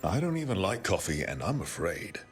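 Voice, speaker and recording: An actor playing an uneasy, wryly joking companion in a fantasy video game. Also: male voice, low-pitched, very animated, normal speed, faint music behind. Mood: wry unease.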